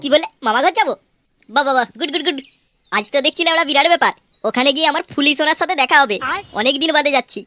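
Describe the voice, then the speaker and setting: A woman's voice: sits high, very fast, bright, forceful tone, faint music behind. A woman voicing a cheeky, playful, scheming young son in a comedy cartoon. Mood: excited, gleeful. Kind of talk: comic cartoon dialogue.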